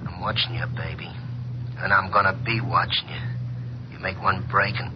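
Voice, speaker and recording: An actor playing a menacing killer in a 1950s radio drama, speaking in a hushed, threatening way. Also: male voice, deep voice, fairly even intonation, normal speed, lightly acted. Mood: quiet menace. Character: menacing male killer threatening a witness by phone. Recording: muffled old radio recording, humming noise.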